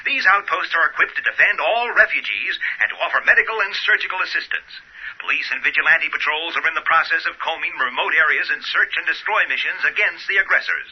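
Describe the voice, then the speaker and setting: An actor playing a formal emergency newsreader delivering an urgent bulletin in a radio play. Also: male voice, on the high side, lively intonation, breathy edge. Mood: grave, official. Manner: formal, measured announcing. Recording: muffled, narrow radio-broadcast sound.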